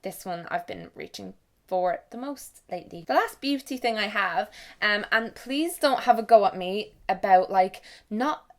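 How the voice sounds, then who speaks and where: A woman's voice: medium pitch, lively intonation, normal speed. A young Irish woman vlogging to camera in casual, self-mocking chat, swearing freely. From Ireland. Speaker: beauty and lifestyle vlogger.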